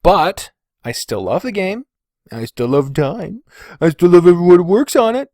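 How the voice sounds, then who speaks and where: A man's voice: high-pitched, expressive, normal speed, a little mellow, no room sound, clean studio sound. A man talking casually as a podcast host, candid and rambling, hesitating and qualifying himself, with casual swearing. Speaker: podcast host and video creator.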